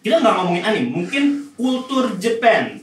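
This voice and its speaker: A man's voice: sits high, big pitch swings, normal speed, far from the mic. A young man hosting a casual group chat in a teasing, playful manner. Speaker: podcast host. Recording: roomy, off-mic, faint noise.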